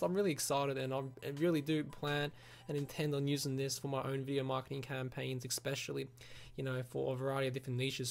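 A man giving a casual, rambling software review, often saying "you know" and "like". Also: on the high side. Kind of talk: casual screen-recorded review. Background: background music.

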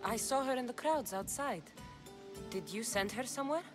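A woman's voice: a little high, animated, moderate pace, close to an everyday voice. An actress playing a tough female mercenary of ancient Greece in a game, speaking directly and guardedly. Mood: serious. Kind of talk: video game cutscene acting. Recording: music under the voice.

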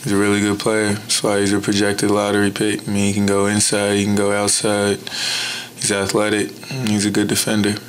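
A young man and college basketball player answering press conference questions in a measured, blunt way, with many "you know"s, into a table mic in an indoor press room. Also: fairly low pitch, completely flat delivery, slight background noise. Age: young adult. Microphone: table mic in front.

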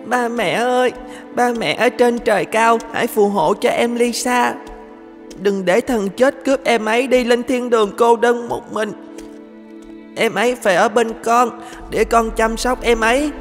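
Woman for cartoon orphan boy, protective and tender, highish voice, earnest delivery over music. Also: a little slow, close to an everyday voice. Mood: earnest, pleading. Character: caring, earnest young orphan boy, protective older brother. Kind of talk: animated children's story dubbing.

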